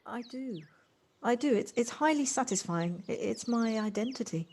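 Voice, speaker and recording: A woman's voice: fairly high pitch, animated, normal speed, a little mellow, a little hiss or hum. A woman in an amateur audio drama playing an exuberant, affectionate lady, eager and effusive, often repeating her words. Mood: polite, earnest. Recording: home recording on a personal device.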